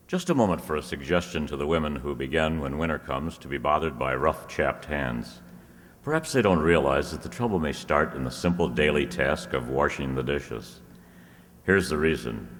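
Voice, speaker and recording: A man playing a vintage radio announcer, reading scripted copy in a measured, formal manner. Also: extremely low pitch. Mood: polished, persuasive. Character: smooth 1930s radio announcer selling soap. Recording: echoey hall, noisy audience room.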